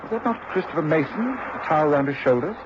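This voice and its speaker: A man playing a shrewd, self-assured gentleman detective with a precise, knowing manner in a radio drama. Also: fairly high pitch, lively intonation, fast, natural voice. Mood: keenly observant. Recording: dull, noisy radio recording.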